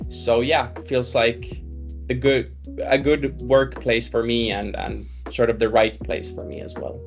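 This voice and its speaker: A man's voice: mid-range voice, steady tone, unhurried, vocal fry, lo-fi sound, faint music behind. A man whose first language is German, grew up in Finland, chatting as a recruitment guest in a friendly, enthusiastic way with frequent restarts. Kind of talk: casual interview chat.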